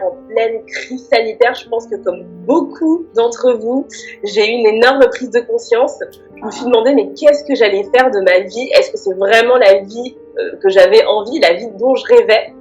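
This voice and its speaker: A woman's voice: medium pitch, lo-fi sound. A woman in her thirties from France, a guest entrepreneur answering interview questions in a friendly, reflective way. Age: 30s.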